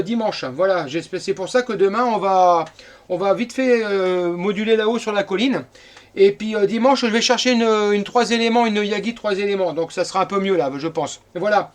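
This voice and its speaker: A middle-aged man from France chatting casually as an amateur radio operator. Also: high voice.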